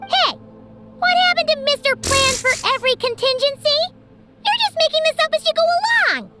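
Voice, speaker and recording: A woman voicing a tiny, chirpy, skeptical childlike companion in a video game, expressive and squeaky. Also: normal speed. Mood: indignant. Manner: expressive, exclamatory. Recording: loud music behind the voice.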